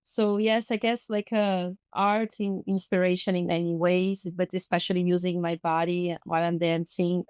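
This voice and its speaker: A Brazilian woman whose first language is Portuguese speaks as a podcast guest in a somewhat low, smooth voice. She pauses often and searches for words, filling the gaps with "um" and "uh". The recording is close and dry. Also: level tone, a little mellow, no room sound. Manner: thoughtful, searching for words, many fillers. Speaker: guest artist and activist. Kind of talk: podcast interview.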